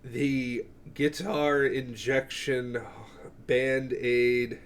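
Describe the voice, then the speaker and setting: A man's voice: medium pitch, level tone, extremely slow, clear, resonant tone. A man giving an offhand, rambling show-and-tell as a collector, swearing freely and often interrupting himself. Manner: offhand, profane, self-interrupting. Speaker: music collector presenting a cassette collection.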